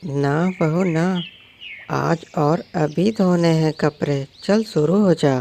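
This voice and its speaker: Cartoon role: sly, bossy old hen-mother sparrow, high nasal-edged voice, slow and mocking voiced by a man. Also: creaky, a little mellow, slight background noise. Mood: bossy taunting. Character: scheming nagging mother-in-law sparrow, female, older.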